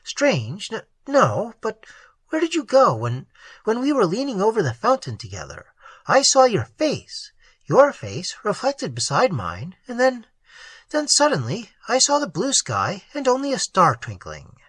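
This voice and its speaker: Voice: medium pitch, slow pace, husky, no echo, voice pushed up, exaggerated voice. A reader playing a stammering young huntsman. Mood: flustered, amazed. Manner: stammering, excitable. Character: startled young man, stammering hunter. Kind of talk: audiobook dialogue.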